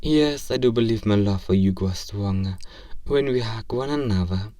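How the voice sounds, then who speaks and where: A man's voice: fairly low pitch, moderate pace, dark tone, dry recording, distant mic, natural voice. A man voicing an earnest, curious robot learning about human love in a comedic role-play, speaking in formal, literal, stiltedly polite phrases. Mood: earnest, tender. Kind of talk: ASMR role-play comedy audio drama.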